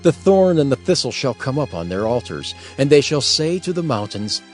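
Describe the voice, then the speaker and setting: A man narrating a dramatized reading of King James scripture in a solemn, measured manner. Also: medium pitch, sing-song, soft background music.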